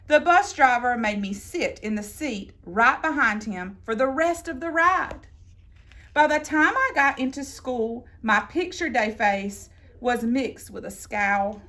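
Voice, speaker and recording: A woman reading a children's picture book aloud in an expressive, theatrical way, drawing out words for emphasis. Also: on the high side. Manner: theatrical, deliberate phrasing with drawn-out emphasis. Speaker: storybook reader.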